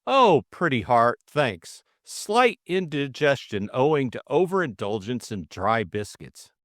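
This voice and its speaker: A jaunty young English gentleman sleuth as played by a male narrator, glib and teasing. His pitch swings freely through unhurried, drawling lines, recorded close and dry. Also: big pitch swings, no echo. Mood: flippant. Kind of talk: audiobook character acting.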